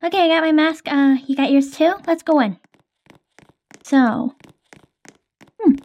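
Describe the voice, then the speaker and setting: A woman's voice: high voice, a little slow, a little mellow. A young woman hosting and narrating a game roleplay in a casual, hesitant way with many ums.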